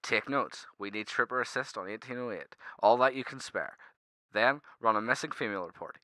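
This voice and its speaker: A man's voice: on the low side, bright, forceful tone, no room sound, low-quality recording. A man playing a stern, exasperated professional detective inspector in an amateur radio drama, speaking formally and precisely. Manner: formal, clipped, no contractions.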